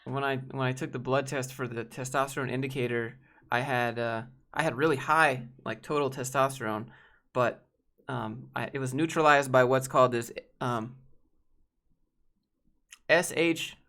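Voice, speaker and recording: A young man hosts a loose question-and-answer chat and answers viewers off the cuff. He rambles openly and keeps restarting his sentences. His voice sits at an ordinary middle pitch for a man. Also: fairly bright tone. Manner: rambling and candid, many fillers and restarts. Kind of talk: casual livestream Q&A chat.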